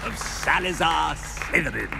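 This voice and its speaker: An actor playing a pompous headmaster making a declamatory ceremonial speech in a video game. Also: male voice, fairly high pitch, expressive, a little slow, vocal fry, full character voice. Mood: grand, proclaiming. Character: grandiose male headmaster addressing the school. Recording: loud music and crowd behind.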